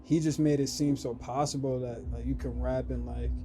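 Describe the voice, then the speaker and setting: A man's voice: medium pitch, normal speed, a little hiss or hum. A young man from Massachusetts, a rapper guest chatting casually on a podcast and filling his talk with "like". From Springfield, Massachusetts. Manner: relaxed, laid-back, many filler "like"s.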